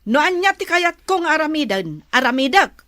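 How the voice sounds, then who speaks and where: Female, very high and sharp, acting a stubborn, lazy village woman who whines defiantly in comedy. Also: very animated, normal speed, no room sound, heavily acted. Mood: whiny, defiant. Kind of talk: comedy skit dialogue in Ilocano.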